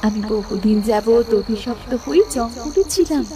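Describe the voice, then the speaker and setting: Woman as a gentle, fairly high-voiced magic mirror. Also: moderate pace, natural voice. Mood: gentle, serene. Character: kindly female magic mirror, gentle, once cursed. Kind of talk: children's cartoon fairy-tale dialogue. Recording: music clearly behind the voice.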